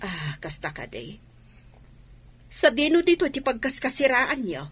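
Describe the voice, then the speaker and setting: Husky, animated older village gossip. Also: female voice, a little high, a little slow, full character voice. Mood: prying. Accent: Ilocano. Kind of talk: Ilocano radio drama acting.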